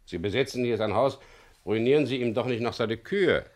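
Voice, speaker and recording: An actor playing a dogged, world-weary English skipper held captive in a radio crime drama, with a dry, laconic manner. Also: male voice, mid-range voice, brisk, darkish tone, natural voice. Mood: urgent, pleading. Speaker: lead actor and first-person narrator. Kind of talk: 1970s German radio crime drama acting and narration.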